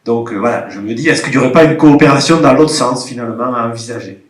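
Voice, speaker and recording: A man's voice: mid-range voice, quick pace. A man with a cold speaking up from a workshop audience, reflective and hedging. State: has a cold. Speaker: participant from a regional agriculture office. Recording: roomy conference hall sound.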